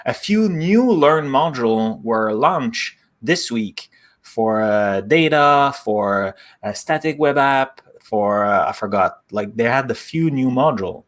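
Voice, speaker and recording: A man's voice: medium pitch, animated, slow. French-accented man explaining casually. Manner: friendly and explanatory, with small grammar slips. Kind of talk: casual tech chat. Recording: online stream.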